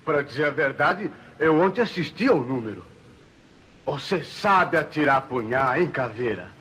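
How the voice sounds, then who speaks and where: A man's voice: on the high side, expressive, moderate pace, a bit bright, husky. An actor with a Brazilian accent playing a naive, ignorant henchman with blunt uneducated speech in a radio serial. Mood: puzzled. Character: dim, ignorant male henchman of a villain. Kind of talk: old radio serial acting.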